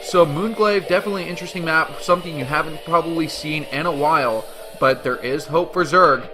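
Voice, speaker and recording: A man casting a strategy game replay in an upbeat, explanatory way, stumbling now and then. His voice sits a little high. Music plays clearly behind him. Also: a little fast. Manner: upbeat, explanatory, frequent fillers and restarts. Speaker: game caster. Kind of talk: esports play-by-play commentary. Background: background music at the start.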